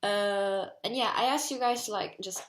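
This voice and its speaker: A woman's voice: mid-range voice, normal speed, roomy sound, slight background noise, rough recording. A 19-year-old woman from Portugal with a Portuguese accent, chatting casually and rambling to camera from her bedroom, full of "like". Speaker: vlogger.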